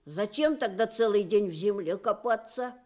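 A woman's voice: medium pitch, moderate pace, dark tone, natural voice. A woman voicing a lazy, self-satisfied male wild boar, musing and boastful, in a children's radio story. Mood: musing, self-excusing.

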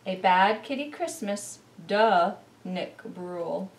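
A middle-aged woman giving an expressive, deliberate picture-book read-aloud as a children's presenter. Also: mid-range voice, slight background noise. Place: indoor living room beside a Christmas tree. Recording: roomy, distant camera microphone.

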